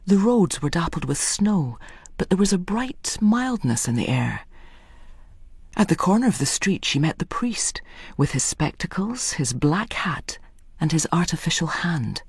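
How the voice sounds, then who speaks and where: A woman reads literary fiction as a dramatic narrator. Her voice is low and husky, with animated pitch movement across carefully scripted phrases. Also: unhurried, no echo, clean studio sound. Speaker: story narrator.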